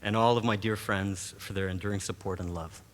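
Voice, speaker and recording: A man from Montreal whose first language is Greek, giving a formal graduation address as a professor in a measured, rhetorical way. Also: low-pitched, fairly even intonation. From Montreal, Canada. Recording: long reverb of a large hall.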